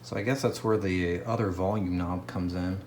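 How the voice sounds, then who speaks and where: Low-voiced man tinkering and thinking aloud. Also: normal speed, roomy sound. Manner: relaxed, thinking aloud, easily amused. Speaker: radio collector testing an antique set.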